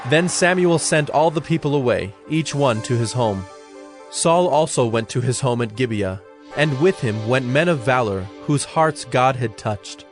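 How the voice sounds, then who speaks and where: A man narrating scripture from a script as a solemn, measured storyteller. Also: medium pitch, expressive, faint music behind.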